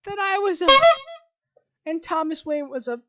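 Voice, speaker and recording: A woman voicing a frail, defensive elderly mother in a casual reading of a screenplay, with a high quavering wail. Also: expressive, moderate pace, fairly bright tone, faint music behind. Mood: wistful.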